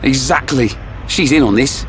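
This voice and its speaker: An actor playing a blunt, loyal young fighter in a video game, talking casually. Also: male voice, medium pitch, moderate pace, fairly bright tone, slight background noise, lightly acted. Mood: grudging. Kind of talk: scripted video game cutscene acting. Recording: music behind the voice.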